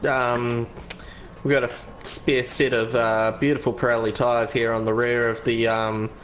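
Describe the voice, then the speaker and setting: A laid-back man chips in on the broadcast, speaking in an ordinary middle pitch. He pauses often with fillers. A faint noise sits behind his voice. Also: flat delivery, a bit bright, rough recording. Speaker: co-commentator. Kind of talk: live sim racing commentary.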